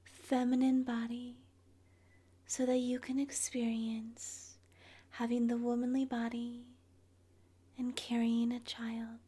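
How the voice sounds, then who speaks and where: Slow, breathy, whispering woman. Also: fairly high pitch, monotone. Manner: soothing, gentle, hushed, with long pauses. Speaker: guided meditation host. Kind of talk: ASMR guided meditation roleplay, soft whispery narration.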